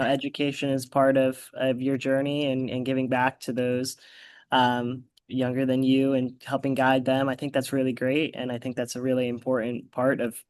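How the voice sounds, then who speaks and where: A man interviewing a festival guest, asking easy questions and answering her warmly. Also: mid-range voice, dry recording. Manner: friendly and encouraging.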